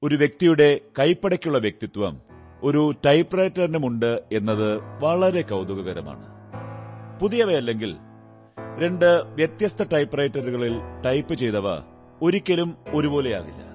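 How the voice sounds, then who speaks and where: A shrewd, composed detective in a radio play. His mid-pitched voice swings expressively through deliberate, theatrical pauses, methodical and quietly triumphant. Music sits under the voice. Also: sing-song, muffled recording. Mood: analytical. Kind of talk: radio drama acting.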